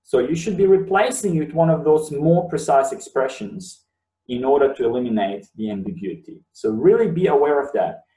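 A Bosnian-native man in his thirties walks students through a webinar lesson, repeating key words to drive them home. His voice is mellow and low in brightness. He sits a little off the mic, and the room around him rings. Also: animated, normal speed. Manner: explanatory, repeats words for emphasis. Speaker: university lecturer. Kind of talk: webinar lecture. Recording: roomy sound, mic at a distance.

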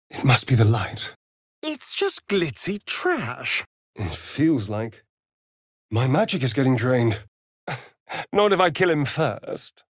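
Sardonic vampire aristocrat, British accent, vain, arch drawl, very animated intonation, unhurried, video game character. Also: male voice, medium pitch, slightly rough, dry recording, studio quality, full character voice. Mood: tense. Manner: theatrical, arch, drawling delivery.

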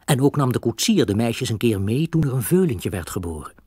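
A man with a Dutch accent narrating a scripted children's audio drama in an expressive storybook manner. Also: mid-range voice, fairly quick, breathy edge, no room sound, clean studio sound.

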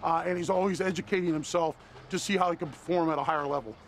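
A man's voice: a little high, fairly even intonation, fast talker. A man who is a county sheriff speaking plainly in an interview soundbite, with frequent fillers.

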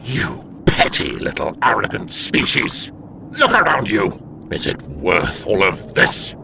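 An actor playing a wrathful, menacing demon overlord in an audio drama, with slow, theatrical, accusing delivery. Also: male voice, sits low, huge pitch swings, vocal fry, background noise, full character voice. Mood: furious.

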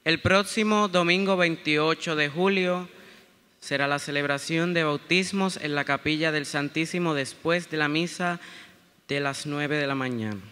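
A man's voice: fairly high pitch, a little slow, bright, forceful tone, clear, resonant tone. A young man reading parish announcements aloud at a lectern microphone in a large, reverberant church.

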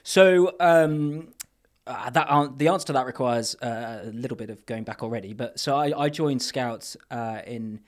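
A man in his thirties from England, a podcast guest talking casually into a boom mic, rambling with restarts and fillers and trailing off quietly. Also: moderate pace. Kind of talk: casual interview chat. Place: podcast studio with brick wall. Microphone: boom mic on arm.

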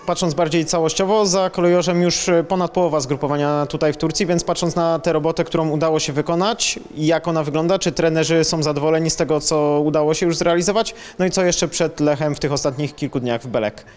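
A man's voice: a little high, steady tone, quick pace, few pauses, faint music behind. A man reporting for a football club's channel, introducing guests and asking chatty questions. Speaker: club media reporter.

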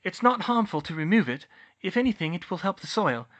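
Man putting on a higher-pitched, earnest young witch. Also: big pitch swings, brisk, a bit bright, dull audio, heavily acted. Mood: nervous, earnest. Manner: earnest, explanatory, polite. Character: brainy, earnest young witch, polite but firm. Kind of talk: audiobook character voice.

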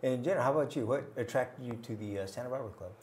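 A man's voice: medium pitch, racing, a little mellow, slight background noise. Older male host in a TV studio. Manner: short encouraging acknowledgments between questions. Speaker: TV talk show host. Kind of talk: studio interview. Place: small TV studio set.

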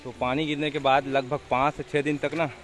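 A man's voice: medium pitch, level tone, fairly quick, a bit bright, faint music behind. A young man with a Chhattisgarhi accent chatting casually to camera as a village vlogger, recorded outdoors on a phone at arm's length. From India. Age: young adult. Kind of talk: casual vlog chat to camera. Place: outdoors in a rural village area.

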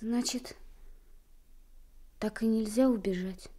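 A woman's voice: on the high side, slow, dark tone. A woman playing a kind-hearted, earnest little boy in a radio drama. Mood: questioning. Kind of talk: Soviet radio drama acting.

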